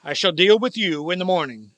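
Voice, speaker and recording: A man's voice: high-pitched, animated, brisk. A man playing a cranky, fearful old miser in a theatrical, muttering radio play style. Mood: grumbling. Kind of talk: stage radio play acting.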